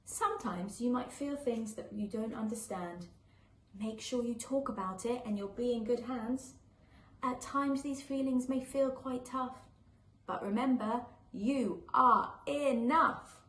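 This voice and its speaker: Woman doing a wise caring big sister from a kids book, gentle rhymes, highish voice, echoey. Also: measured pace. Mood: reassuring. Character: wise, reassuring older sister of a young girl. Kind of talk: children's storybook reading. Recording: echoey room with faint background noise.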